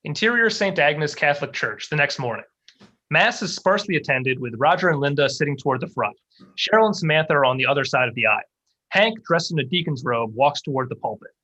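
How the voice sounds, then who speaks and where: A man's voice: a little high, animated, fast, sharp tone, rough recording. A man reading screenplay stage directions aloud as scripted narration at a table read.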